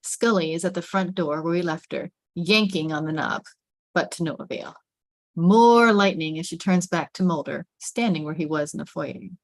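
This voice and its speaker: Lowish-voiced woman narrating suspensefully. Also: moderate pace, no echo. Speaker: narrator reading stage directions. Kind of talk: dramatic scripted narration at a table read.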